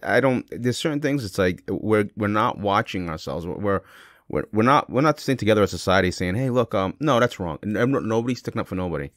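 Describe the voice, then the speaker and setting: A man's voice: lowish voice, lively intonation, quick pace, no echo, clean studio sound. A man as a podcast guest in casual, opinionated chat, rephrasing himself as he argues.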